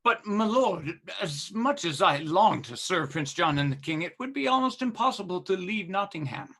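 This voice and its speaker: Fawning sheriff, husky voice, nervous, stammering, greedy, radio play. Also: male voice, high voice, lively intonation, normal speed, pushed and bright, exaggerated voice. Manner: flustered, obsequious, stammering.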